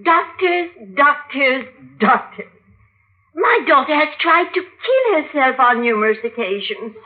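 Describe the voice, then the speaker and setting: A woman's voice: sits high, sing-song, unhurried. An actress playing a fussy, long-suffering older mother testifying in an old-time radio drama, blunt and exasperated. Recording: muffled, narrow-band old radio recording.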